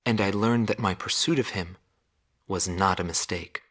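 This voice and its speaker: An actor playing a reflective journalist narrating a war documentary in a measured, solemn way. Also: male voice, low voice, a bit bright, breathy edge.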